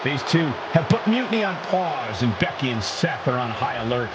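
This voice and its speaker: A man playing an excited play-by-play wrestling commentator in a video game. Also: mid-range voice, normal speed, heavy background noise, lightly acted. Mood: hyped. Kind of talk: sports commentary. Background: loud entrance music.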